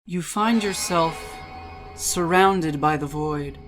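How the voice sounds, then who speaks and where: Slow, ominous woman narrator, lowish voice. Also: faint music behind. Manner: ominous, deliberate, measured with dramatic pauses. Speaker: dramatic reader. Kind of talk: scripted spooky dramatic narration.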